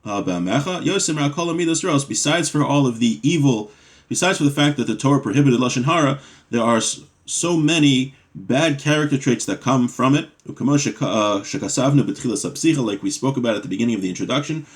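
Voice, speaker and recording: A man's voice: mid-range voice, expressive, quick pace. A man teaching a weekly religious study class. He reads passages in Hebrew, then translates and explains them in conversational English, often checking in with "right?" and "you know.".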